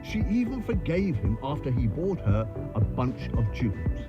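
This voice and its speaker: Actor as pompous radio host, loud music. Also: male voice, medium pitch, big pitch swings, moderate pace, natural voice. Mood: pompous, judgmental. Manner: pompous, emphatic, rhetorical. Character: opinionated, pointed male radio show host. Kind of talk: radio news commentary within a drama. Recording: dull radio sound under loud music.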